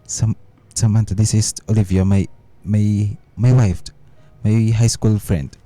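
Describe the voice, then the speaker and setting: Shifty, irritable unfaithful husband, deep muffled-dark tone, halting delivery, radio drama. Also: male voice, level tone. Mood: flustered. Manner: halting, stammering when cornered. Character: evasive, irritable cheating husband. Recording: music behind the dialogue.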